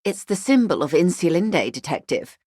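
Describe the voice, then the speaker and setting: A composed corporate negotiator from a video game. Her voice sits low, recorded dry with no room around it. She speaks in measured, literate sentences, grave and weary, with a sardonic edge. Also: big pitch swings, darkish tone, dry recording. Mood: reflective, wistful. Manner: articulate, formal, dryly ironic, grave.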